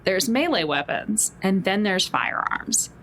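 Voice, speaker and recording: A woman's voice: mid-range voice, very animated, unhurried, a bit bright, a little hiss or hum. A woman playing a tabletop role-playing game, talking casually in a wry, informal way.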